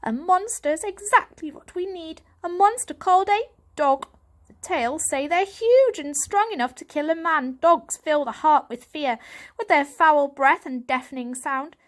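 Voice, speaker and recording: A woman's voice: sits high, measured pace, voice pushed up. A woman voicing an elderly male cat, a wise, secretive grandfather with an earnest storytelling manner, for a children's audiobook. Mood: grave, urgent.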